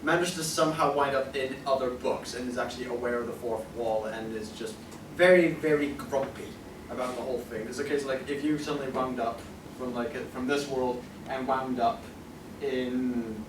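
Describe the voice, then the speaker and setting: A man of middling pitch chats on a panel in a hesitant, think-aloud way. His voice bounces around a roomy space and sits away from the microphone. He often restarts and repeats phrases while taking questions. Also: steady tone, moderate pace. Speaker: convention panelist from an audio drama group. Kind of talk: informal panel talk and audience Q&A. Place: convention panel room, indoors. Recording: roomy, distant microphone, noisy convention room.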